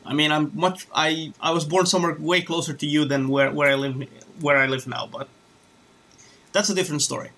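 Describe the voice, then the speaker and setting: A man from Romania talks casually to his stream while flying a combat simulator. His voice sits a little high and sounds bright and forward. He rambles, hesitates and restarts his sentences as he goes. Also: fairly even intonation, fairly quick, creaky. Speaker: flight sim streamer.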